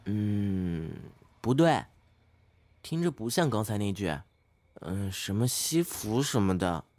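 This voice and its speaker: A young man acting an earnest, polite, slightly naive studious teenage boy in an audio drama, gentle and encouraging. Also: fairly low pitch, very animated, slow, no echo. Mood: polite, puzzled. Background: background music.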